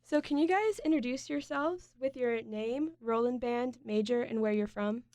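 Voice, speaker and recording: A woman's voice: on the high side, moderate pace, a little mellow, no echo, studio quality. A young woman hosting a college radio interview, asking friendly, plain questions.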